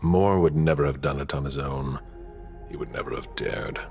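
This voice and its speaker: An actor playing a stern, protective and menacing vampire enforcer in a video game, speaking in a grave, commanding, gravelly way. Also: male voice, rumbling low, steady tone, normal speed, over music. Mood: grave, loyal. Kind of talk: dramatic video game acting.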